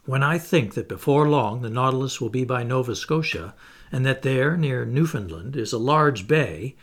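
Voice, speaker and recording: A surly, restless harpooner, put on by a man reading the story, bursts out with a louder, higher voice. The tone is gravelly and lively in pitch. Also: normal speed. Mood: furious. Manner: impatient, furious outbursts. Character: angry homesick Canadian harpooner man.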